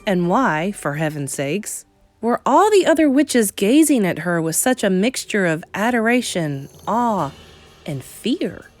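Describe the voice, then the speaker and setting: A woman reading a children's novel aloud as dramatic narration, with suspenseful emphasis and deliberate pauses. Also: mid-range voice, big pitch swings, dry recording, faint music behind. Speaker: storybook reader.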